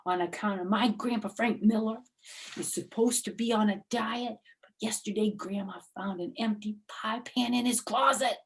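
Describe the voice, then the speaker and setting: A small, dramatic schoolgirl from a children's storybook, put on by a woman reader. She speaks high and emphatically, with childish grammar and a whiny, defensive edge. She is cheeky and very excitable. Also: moderate pace, natural voice. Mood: indignant, confiding.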